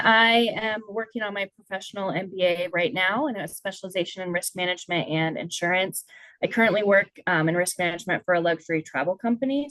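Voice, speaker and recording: A woman, an MBA student, introducing herself briskly. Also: on the low side, fairly bright tone, dry recording, poor audio.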